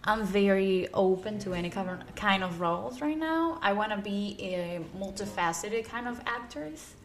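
A woman's voice: medium pitch, animated, moderate pace. A young woman with a Cuban accent gives casual, emphatic interview answers, peppering her speech with "like". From Cuba. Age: young adult. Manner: chatty, emphatic, frequent use of "like". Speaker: interview guest, aspiring actress and model.

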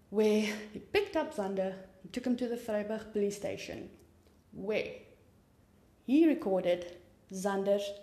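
A woman's voice: mid-range voice, mellow, roomy sound. A woman from South Africa whose first language is Afrikaans, hosting a true crime podcast in a chatty, informal way with rambling asides and a South African accent. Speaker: podcast host. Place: home room with papers on desk.

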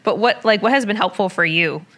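A woman's voice: medium pitch, a little fast, fairly bright tone. A woman in a casual chat, asking questions thoughtfully and a bit hesitantly. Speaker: interviewer. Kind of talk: casual conversation.

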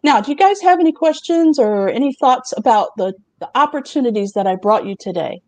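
A middle-aged woman hosting a casual talk show, chatty and quick to laugh as she presents remote job openings and asks the others questions. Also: fairly high pitch, expressive, a little mellow, no echo.

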